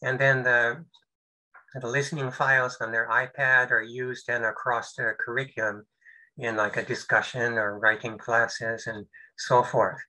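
An older man giving a webinar talk over a video call, halting and full of fillers and restarts. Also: mid-range voice, little pitch movement, sharp tone, poor audio. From United States. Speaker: presenter. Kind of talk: webinar presentation.

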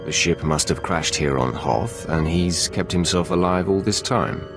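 An actor playing a confident, coolly sardonic Sith apprentice in a video game, self-assured and clipped. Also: male voice, sits low, a little slow, over music.

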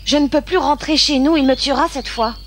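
Woman as a high-voiced worried jungle boy. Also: brisk, a little hiss or hum.

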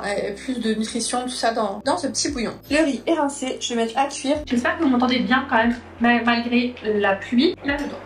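A woman's voice: a little high, far from the mic, a little hiss or hum. Chatty young woman in an echoey kitchen. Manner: chatty, rambling, many fillers and restarts. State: recovering from a stomach illness. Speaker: cooking vlogger. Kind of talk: casual chat while cooking. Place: home kitchen, rain outside. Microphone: far camera.

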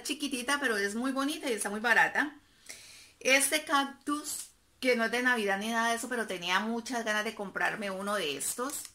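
A woman's voice: fairly high pitch, bright, forceful tone, a little hiss or hum. A woman, chatting casually to the camera from her living room as she shows off things she bought, fond of little diminutives. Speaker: vlogger. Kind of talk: casual haul chat. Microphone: camera in front, seated close.

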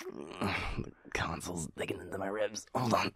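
A man playing a playful, cocky, doting werewolf lover in an intimate audio roleplay, with a breathy, gravelly whisper and a teasing, murmured delivery. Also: low-pitched, expressive, no room sound, heavily acted. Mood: flustered, eager. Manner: teasing, chuckling, slow and murmured.

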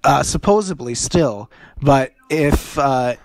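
A man's voice: medium pitch, animated, slow, no room sound. A man in his twenties chatting casually as a podcast co-host from home. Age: 20s. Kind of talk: casual chat. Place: room at home on a video call.

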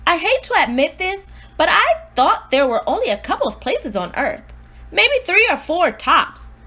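A woman voicing a precocious, self-important newborn baby diarist, earnest and exclamatory, in an expressive storybook read-aloud. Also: very high-pitched, normal speed, full character voice. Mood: wondering admiration.

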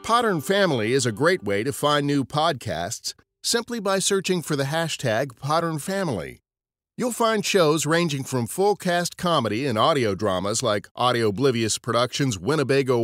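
A man's voice: mid-range voice, fairly bright tone, no room sound, clean studio sound. An American man hosting a podcast, reading scripted narration. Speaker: podcast host. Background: guitar music.

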